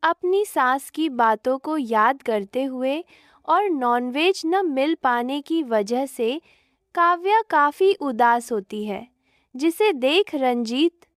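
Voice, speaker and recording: A woman's voice: sits high, expressive, slow, dry recording, clean studio sound. A woman narrating a scripted moral story as its storyteller.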